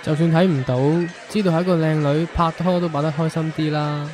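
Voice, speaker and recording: A man's voice: on the high side, fairly even intonation, a little fast, crowd noise, natural voice. A man playing a considerate young boyfriend in a radio drama. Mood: exasperated. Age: young adult.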